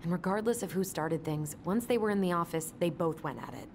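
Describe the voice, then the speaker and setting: A woman acting as a sharp-tongued young woman in a narrative video game. She is skeptical and ready to confront, speaking naturally over clear background noise. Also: lowish voice, fairly quick, lightly acted. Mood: sardonic, frustrated. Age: young adult.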